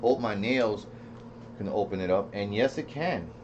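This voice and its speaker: Muffled lo-fi audio, faint noise, man, mid-pitched voice, casual product reviewer, thinking aloud, repetitive. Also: unhurried, darkish tone. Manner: rambling, thinking aloud, repeats himself. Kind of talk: casual unscripted product demo. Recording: dull lo-fi sound with faint noise.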